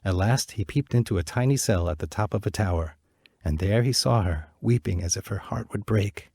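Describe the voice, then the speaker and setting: A man narrating a fairy tale in a measured, clear reading voice. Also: on the low side, a little mellow, dry recording, clean studio sound. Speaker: storyteller.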